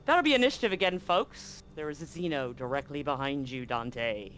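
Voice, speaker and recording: Game master, tabletop horror narration, dramatic, deliberate pauses, suspenseful. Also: medium pitch, expressive, fairly bright tone.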